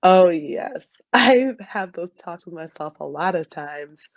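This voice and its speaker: A woman's voice: on the low side, expressive, slow, creaky, dry recording, highs cut off. A young woman in a casual interview as a soccer player guest, peppering her talk with "like". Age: young adult. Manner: heavy use of "like" as she talks.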